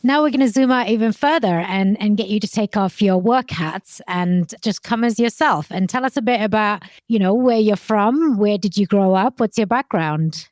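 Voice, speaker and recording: A woman's voice: mid-range voice, normal speed, hardly any pauses, a little mellow, dry recording, clean studio sound. A woman hosting a podcast interview, asking questions and reacting with encouraging praise and laughs. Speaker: podcast host.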